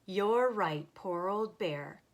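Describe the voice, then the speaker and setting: A woman's voice: mid-range voice, very slow. Woman voicing a chipper, scheming storybook hare. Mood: placating. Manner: eager, persuasive, emphatic. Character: clever, chipper male hare, a scheming salesman neighbor. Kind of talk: children's storybook character voice.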